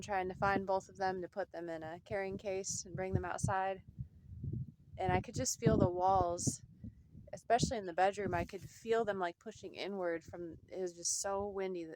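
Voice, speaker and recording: A woman's voice: fairly low pitch, very noisy. A woman chatting casually to her camera at home, rambling with fillers and repeating herself as she talks. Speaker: vlogger.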